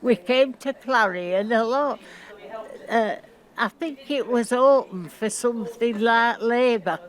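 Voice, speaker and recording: A woman's voice: a little high, slow. An older woman, casually reminiscing in conversation.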